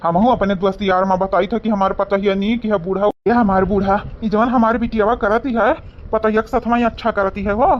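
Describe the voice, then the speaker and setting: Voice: mid-range voice, fairly even intonation, fairly quick, highs cut off, close to an everyday voice. A performer voicing a put-upon, worried village family member in a comedy cartoon, plaintive, with an eastern Uttar Pradesh accent. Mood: worried, complaining.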